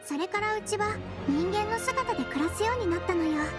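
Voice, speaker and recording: A woman voicing a gentle little fairy-like nurse in an anime game, speaking in a soft, composed, girlish way. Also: extremely high pitch, animated, fairly quick. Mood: calm, sincere. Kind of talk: anime game dialogue and fairy-tale storytelling. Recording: music behind the voice.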